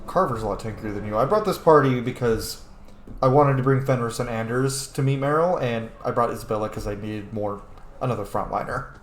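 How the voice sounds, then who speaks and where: A man's voice: mid-range voice, brisk, echoey room, a little hiss or hum. A man giving casual, sweary let's play commentary as a gaming host, informal and full of wisecracks. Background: game music.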